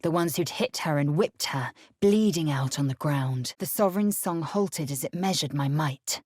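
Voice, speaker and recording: A woman playing a fierce, haughty githyanki warrior in a video game, with a husky, breathy edge and clipped, imperious delivery. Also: deep voice, moderate pace, a little mellow, no room sound, clean studio sound. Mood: brooding recollection. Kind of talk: video game character acting.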